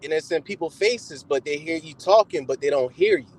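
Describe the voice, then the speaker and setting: A man's voice: fairly high pitch, animated, brisk, slightly rough, poor audio. A young man in his twenties calling in, casual and agreeable in conversation. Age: 20s. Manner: agreeable, laughs along. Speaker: caller. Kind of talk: casual chat.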